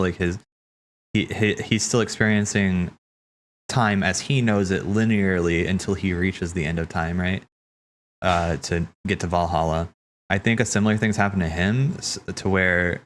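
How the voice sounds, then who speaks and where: A man streaming casual live commentary, thinking aloud in a halting way and repeating phrases as he reasons. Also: low voice, slight background noise. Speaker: streamer hosting a cutscene watch party. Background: game music behind the voice.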